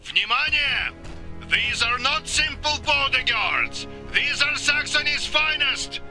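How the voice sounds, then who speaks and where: An actor playing a commanding, ruthless military colonel in a video game, with a Russian accent. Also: male voice, very high-pitched, expressive, slow, bright, forceful tone, soft background music, exaggerated voice. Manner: barking, commanding.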